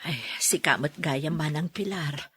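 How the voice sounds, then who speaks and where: A woman playing a frail, remorseful elderly mother in a radio drama, speaking haltingly and pleadingly in a husky voice. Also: deep voice, fairly bright tone, full character voice. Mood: weary, ashamed. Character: frail, ailing elderly mother, meek, remorseful, self-effacing. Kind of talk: Ilocano radio drama acting.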